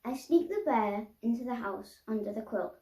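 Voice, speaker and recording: A woman reading a children's picture book aloud expressively, pausing to explain the pictures. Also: fairly high pitch, expressive, mellow. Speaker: storybook reader. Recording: roomy, echoing sound.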